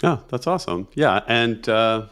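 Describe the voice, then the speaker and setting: A man hosting a podcast, asking questions in a relaxed, friendly way with hesitant fillers. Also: mid-range voice, normal speed, smooth. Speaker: podcast host. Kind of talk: interview questions and show segue.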